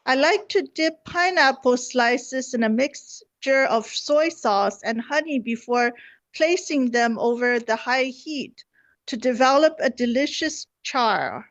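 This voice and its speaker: A woman's voice: high-pitched, expressive, slow, fairly bright tone, no room sound, full character voice. A woman playing an unflappable homemaking TV hostess in an amateur comedy sketch, giving composed, instructional household tips. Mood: serene, instructive. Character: unflappable female homemaking TV hostess, serenely domestic. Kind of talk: amateur radio comedy sketch read-through. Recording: dull lo-fi online call audio.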